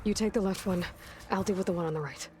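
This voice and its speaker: A woman voicing a determined, guarded young hunter in a video game, speaking earnestly and deliberately, often dropping toward a whisper. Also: medium pitch, noisy background, close to an everyday voice. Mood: alert. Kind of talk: video game voice acting, hushed self-narration.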